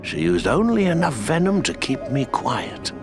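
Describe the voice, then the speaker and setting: Eccentric old game wizard: kindly, chatty, pitch swooping wildly, music underneath. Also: male voice, wildly swooping pitch, moderate pace. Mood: chatty, unconcerned. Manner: whimsical, rambling, chatty. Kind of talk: video game cutscene acting.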